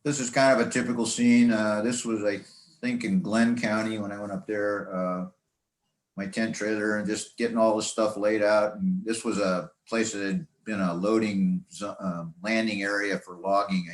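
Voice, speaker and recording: An older man with an American accent gives an informal slideshow talk, reminiscing in a rambling, halting, conversational way. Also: on the low side. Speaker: speaker presenting to a radio club. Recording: echoey, roomy sound.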